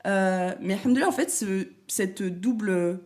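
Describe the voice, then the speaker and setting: A woman's voice: medium pitch, a little slow, darkish tone. Chatty young woman. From France, Paris. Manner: chatty, talkative, many fillers and restarts. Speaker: guest, content creator. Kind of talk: casual interview talk.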